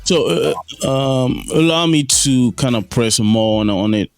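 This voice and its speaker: A man of middle pitch hosts a podcast, recorded dry and close to the mic. He laughs easily and stumbles over his own questions, and faint music runs beneath him. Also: a little slow, dry recording. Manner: laughs often, repeats words while forming questions. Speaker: podcast host. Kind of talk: interview questions, casual.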